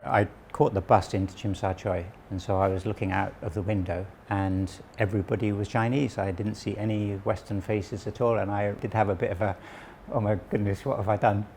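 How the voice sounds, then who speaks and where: A man around sixty, originally from Wales, calmly explaining local history and his website as an interview guest, with small restarts. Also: on the low side, brisk, creaky, hardly any pauses, a little hiss or hum. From southwest Wales. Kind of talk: relaxed conversational explanation.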